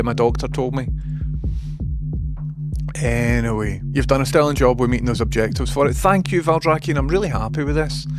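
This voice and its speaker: A man with a Scottish accent chatting informally as a livestream host, rambling as he replies to viewers. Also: mid-range voice. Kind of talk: casual livestream chat, replying to viewers. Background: music playing.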